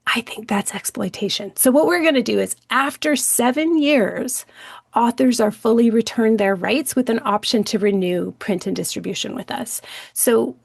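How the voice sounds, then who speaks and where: A woman's voice: fairly high pitch, normal speed, creaky, breathy edge, dry recording, clean studio sound. A woman hosting a podcast, talking in a chatty, enthusiastic way with plenty of fillers. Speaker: podcast host. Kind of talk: casual podcast chat.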